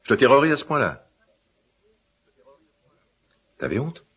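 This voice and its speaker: An actor playing a gruff, quick-tempered but caring middle-aged father in a radio drama, with halting, repetitive, colloquial speech. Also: sing-song, fairly bright tone. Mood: anxious, pressing. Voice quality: deep, gravelly, husky. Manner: halting, repeats himself, blustering colloquial speech. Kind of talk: naturalistic French radio drama acting.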